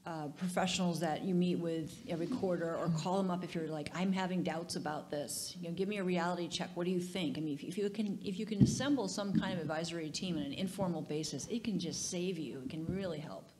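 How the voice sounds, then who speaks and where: Fast-talking woman panelist giving advice. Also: on the low side, darkish tone, a little hiss or hum. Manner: practical, advising. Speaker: panelist entrepreneur. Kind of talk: panel discussion.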